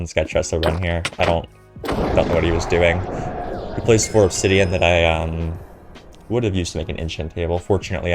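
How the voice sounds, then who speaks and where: A man's voice: low voice, darkish tone. A young man with a stuffy nose from a cold, giving casual, chatty voiceover commentary over gameplay and filling gaps with ums. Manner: casual, filler-heavy, chatty. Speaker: gaming commentator.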